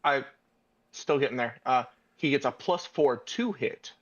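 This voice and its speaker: A man's voice: mid-range voice, expressive, a little slow, muffled recording. A man playing a tabletop game, talking casually and tentatively as he works things out aloud.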